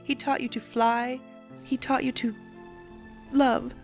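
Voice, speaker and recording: A woman's voice: high-pitched, flat delivery, vocal fry, soft background music, exaggerated voice. A woman voicing a grave, sorrowful pony princess. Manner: grave, measured, tearful. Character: solemn sorrowful female pony princess ruler. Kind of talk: dramatic reading.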